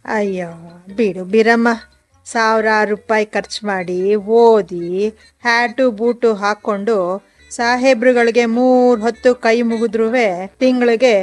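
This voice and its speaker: A polite housewife in her fifties, chatting easily, as an actress plays her. Her voice is high and light. Faint music sits behind the radio scene. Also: steady tone, unhurried, close to an everyday voice. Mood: rueful. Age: 50s. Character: middle-aged housewife, proper and chatty. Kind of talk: radio drama acting.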